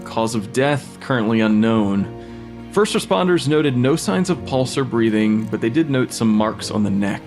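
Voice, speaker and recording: A man's voice: mid-range voice, animated, moderate pace, a little mellow, soft background music, close to an everyday voice. An actor playing an awkward, earnest male forensic pathologist in an audio drama, precise but a little hesitant. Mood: clinical. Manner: hesitant, slightly flustered, precise. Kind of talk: full-cast audio fiction acting.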